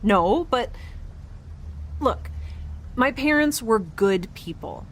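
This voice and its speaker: Halting, slow-talking flustered young woman played by an actress. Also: on the high side, lively intonation. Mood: defensive. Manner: halting, defensive, rambling. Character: guarded, flustered young woman, scientists' daughter, in sci-fi. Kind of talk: naturalistic audio drama acting.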